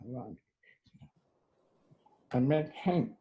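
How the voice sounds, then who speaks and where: A man acting a gentle, reserved bus driver in a screenplay table read, with an understated, halting delivery. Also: mid-range voice, animated, muffled recording. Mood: quiet, reflective.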